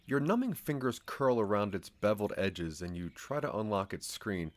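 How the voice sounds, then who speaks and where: Low-voiced man narrating an audio drama. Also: sing-song, moderate pace, no room sound.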